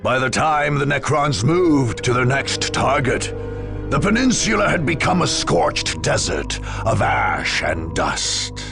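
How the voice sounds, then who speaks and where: An actor playing a grave war chronicler, giving dramatic scripted narration for a video game. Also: male voice, mid-range voice, sing-song, slow pace, creaky, music in the background, heavily acted.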